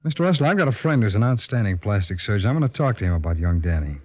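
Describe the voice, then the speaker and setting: Deep, quick-talking sympathetic detective. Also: male voice, very animated. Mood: businesslike. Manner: measured wording, matter-of-fact, kindly. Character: steady, sympathetic male police detective. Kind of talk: old-time radio drama acting. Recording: dull, lo-fi old radio recording.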